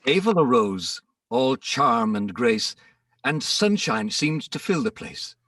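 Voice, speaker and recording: A man giving a theatrical, measured dramatic reading of rhyming comic verse as the narrator. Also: mid-range voice, sing-song, fairly bright tone, no room sound, studio quality, far from the mic. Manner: theatrical, measured storytelling delivery. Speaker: poem narrator.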